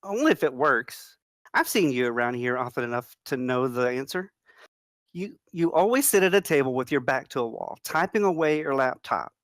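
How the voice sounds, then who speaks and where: A woman's voice: extremely low pitch, expressive, normal speed, no echo, clean studio sound. A woman playing a flirty, confident woman in her twenties at a screenplay table read. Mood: playful, flirty.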